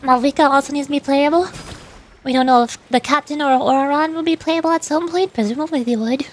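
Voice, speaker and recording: A woman's voice: high voice, fairly even intonation, fast. A woman streamer giving casual, chatty and playful commentary while playing a video game. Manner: playful, chatty, with silly sound effects. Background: game music.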